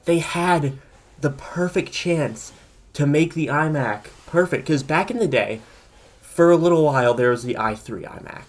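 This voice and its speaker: A man's voice: a little high, lively intonation, normal speed. A man co-hosting a casual tech podcast, opinionated and rambling as he thinks aloud. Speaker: podcast co-host.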